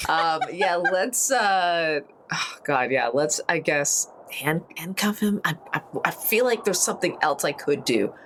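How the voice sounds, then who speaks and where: A woman playing a tabletop game, chatting casually and reacting in an expressive, exclamatory way. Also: low-pitched, unhurried. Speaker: player. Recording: noticeable background noise, room ambience.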